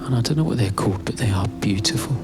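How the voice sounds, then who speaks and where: A British man giving an intimate spoken-word narration in a hesitant, musing way, in fragmented phrases with pauses. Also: on the low side, expressive, music in the background. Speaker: writer-performer narrating his own piece.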